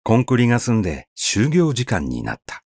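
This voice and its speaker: A man's voice: mid-range voice, wildly swooping pitch, normal speed, creaky, darkish tone, no room sound, clean studio sound. A man narrating a short story as a scripted audiobook reading, telling it expressively. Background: faint background music.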